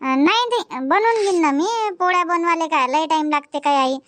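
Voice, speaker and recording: Woman as a very high-voiced young village wife. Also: a little fast, a bit bright. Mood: agreeable, busy. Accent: Varhadi Marathi from Vidarbha. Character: young rural Marathi daughter-in-law and mother, busy, chattering. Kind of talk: amateur cartoon comedy dubbing in village dialect.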